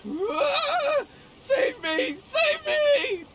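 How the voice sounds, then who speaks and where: An actor voicing an anxious, apologetic young schemer with an earnest, sheepish manner in a video game. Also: male voice, extremely high pitch, big pitch swings, drawn-out words, fairly bright tone, exaggerated voice. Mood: terrified. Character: anxious, scheming, apologetic poor younger man, swordsman's brother.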